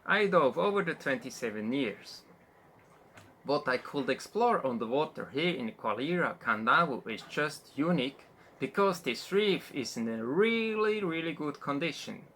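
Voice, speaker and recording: A man from Switzerland, a sailor and diver, giving a short testimonial in halting phrasing. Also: a little high, animated, a bit bright, a little hiss or hum.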